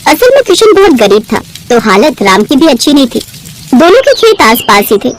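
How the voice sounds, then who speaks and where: A woman's voice: high-pitched, big pitch swings, normal speed, slight background noise, low-quality recording. A woman narrating a scripted children's story expressively, as a storyteller.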